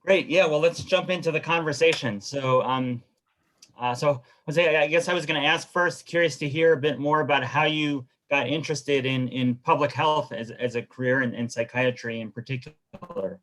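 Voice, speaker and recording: A man's voice: mid-range voice, normal speed, fairly bright tone, poor audio. Casual male interview moderator.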